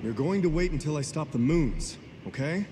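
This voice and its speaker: A man playing an earnest, excitable young fighter in a video game cutscene. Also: on the high side, normal speed, background noise, close to an everyday voice. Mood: insistent.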